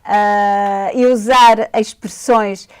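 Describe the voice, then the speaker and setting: A woman from Portugal with a European Portuguese accent, co-hosting a radio show and talking slowly and thoughtfully about reading poems. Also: mid-range voice, steady tone, clean tone. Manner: thoughtful, hesitant, with fillers. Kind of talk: casual conversation about poetry.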